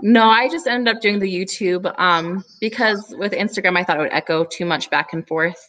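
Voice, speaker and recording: A woman's voice: mid-range voice, moderate pace, a bit bright. A woman with a cold, a guest on a live video chat, walking through products in a casual way with lots of fillers. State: has a cold. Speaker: guest business owner.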